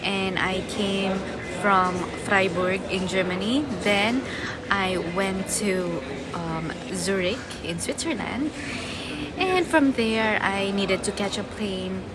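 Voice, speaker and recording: A woman's voice: medium pitch, over a noisy crowd. A woman chatting casually to her viewers as a travel vlogger, talking straight to the camera. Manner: chatty, unhurried, addresses viewers directly. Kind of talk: casual travel vlog narration. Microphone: handheld action camera. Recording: loud background music, sometimes off-mic.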